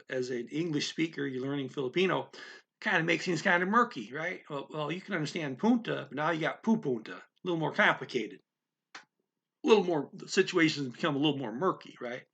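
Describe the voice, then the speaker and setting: Husky-voiced older man teaching a language. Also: fairly high pitch, expressive, moderate pace, a bit bright. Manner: conversational, repeats key words, frequent "okay" and "right". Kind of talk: casual explanatory lesson to camera. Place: small room at home, indoors. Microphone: webcam at desk.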